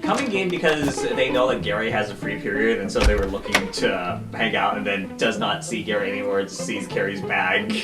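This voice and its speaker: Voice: sits low, fairly quick, hardly any pauses. A tabletop game player describing a character's actions casually, in loose improvised phrasing. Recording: roomy sound with music behind. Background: guitar music.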